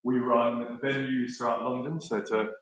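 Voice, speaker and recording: A man's voice: mid-range voice, flat delivery, unhurried. A man from South Africa giving a short, earnest speech at an awards event, loose and full of fillers. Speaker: award guest speaker. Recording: echoey hall.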